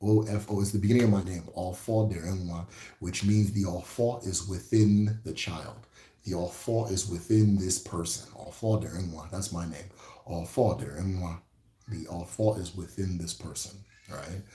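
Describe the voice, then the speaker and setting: A man with an Igbo accent teaching spirituality in a casual livestream, relaxed and reflective, who often repeats key phrases and tags "right?". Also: low-pitched, moderate pace, roomy sound. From Igbo, Nigeria.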